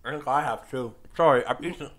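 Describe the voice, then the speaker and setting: A man's voice: medium pitch, fast, fairly bright tone. A man co-hosting a podcast in casual chat, with a hesitant, understated way of reacting. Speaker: podcast co-host.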